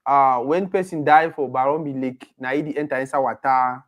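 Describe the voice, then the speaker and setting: A man's voice: mid-range voice, fairly quick, dull audio. A man chatting casually as a podcast co-host. He talks with energy and slips into pidgin. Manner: animated, mixes pidgin into his English. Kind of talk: casual chat.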